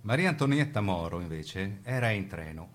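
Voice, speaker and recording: Male radio narrator, low and rough-edged, didactic historical script delivered straight to the listener. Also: lively intonation, moderate pace. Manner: didactic, rhetorical, addressing the listener. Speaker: narrator of a radio documentary drama.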